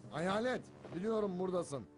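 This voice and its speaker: Cocky cartoon cat over music. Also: male voice, sits high, lively intonation, moderate pace. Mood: indignant. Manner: wry, self-assured, theatrical. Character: cocky, sarcastic, food-loving male cartoon cat. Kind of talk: animated cartoon dub. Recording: background music under the voice.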